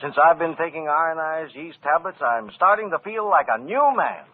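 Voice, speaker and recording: An actor playing a hearty, cheerful husband in a vintage radio advertisement style. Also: male voice, fairly high pitch, animated, normal speed. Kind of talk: 1940s radio advertisement acting. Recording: tinny old radio broadcast.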